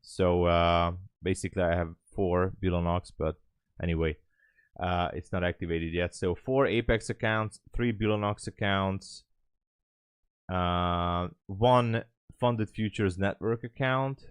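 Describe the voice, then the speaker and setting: Low-voiced Hungarian man streaming casually. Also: steady tone, measured pace, clean tone, dry recording, clean studio sound. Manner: rambling, thinking aloud. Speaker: live trading streamer. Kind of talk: casual livestream chat.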